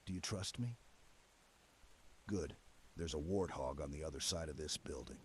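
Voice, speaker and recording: Man acting as a stoic armored soldier, extremely low voice, protective, clipped military delivery, steady and reassuring, ASMR roleplay, background ambience. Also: moderate pace. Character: stoic, protective armored male super-soldier, calm commander. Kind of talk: ASMR roleplay, scripted dramatic monologue. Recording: clear background ambience noise.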